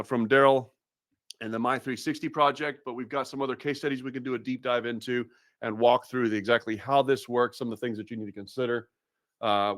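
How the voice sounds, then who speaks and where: A man's voice: mid-range voice, a bit bright, dry recording. Guy hosting a webinar, chatty and upbeat, lots of ums, dry close mic. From Massachusetts, USA. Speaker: webinar host. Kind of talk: live webinar presentation.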